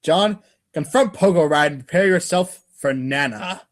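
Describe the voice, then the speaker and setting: A man's voice: fairly high pitch, normal speed. A man narrating a comedic readers' theater piece in a mock-serious, theatrical way.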